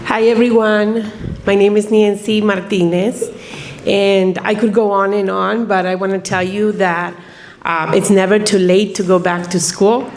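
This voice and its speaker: A woman's voice: mid-range voice, steady tone, a little slow. A woman in her fifties from Guatemala giving an emotional, informal personal speech as a student at a ceremony. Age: 50s. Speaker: graduate student honoree. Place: ceremony room before an audience. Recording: noisy hall with crowd murmur.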